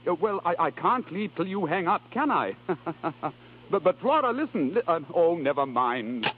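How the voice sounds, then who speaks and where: An actor playing a flustered, lovelorn estranged husband, hesitant and earnest, in 1930s radio drama style. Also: male voice, on the high side, big pitch swings, moderate pace. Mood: flustered, exasperated. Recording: scratchy, tinny 1930s radio recording.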